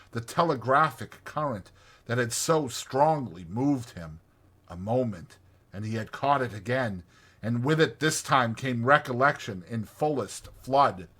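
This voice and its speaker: A man narrating a classic storybook in an expressive, theatrical way. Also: medium pitch, breathy edge. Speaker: audiobook narrator.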